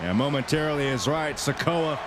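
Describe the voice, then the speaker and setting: Dramatic high-voiced male wrestling commentator. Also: lively intonation, a little fast, heavy background noise. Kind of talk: sports commentary. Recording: loud music and crowd behind. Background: loud arena crowd and music.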